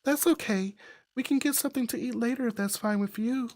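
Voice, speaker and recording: A woman's voice: a little high, a little fast, a little mellow, no echo, voice pushed up, lightly acted. A woman voicing a polite, worried and dutiful daughter in a visual novel. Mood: surprised, polite.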